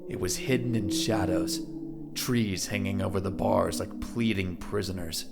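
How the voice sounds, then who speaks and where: A man's voice: low-pitched, steady tone, a little slow, vocal fry, loud music behind the voice. A man narrating a scripted audio drama in a dramatic storytelling style.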